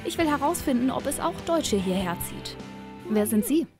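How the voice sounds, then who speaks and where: A woman's voice: sits high, big pitch swings, moderate pace. Chatty young woman narrating a TV report. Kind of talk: scripted TV voice-over narration. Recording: voice-over with clear background music.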